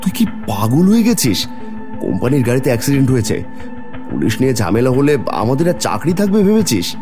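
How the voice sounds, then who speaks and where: A man's voice: medium pitch, very animated, brisk, natural voice. A man playing a brash, callous office colleague in a horror cartoon, speaking bluntly and insistently. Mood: forceful, scheming. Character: brash, callous, self-protecting male colleague and driver. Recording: music behind the voice.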